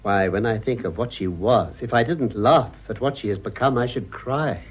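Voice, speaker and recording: An actor playing a worldly, sardonic old English gentleman with polished manners, in a radio drama. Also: medium pitch, normal speed, husky, lightly acted. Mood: cutting. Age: older. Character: cynical, urbane old English gentleman, amused and cutting. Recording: muffled radio recording.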